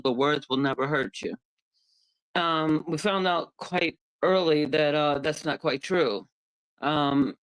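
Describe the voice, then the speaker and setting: A woman's voice: low-pitched, rough recording. A woman on a discussion panel, speaking candidly and reflectively, with many hesitations.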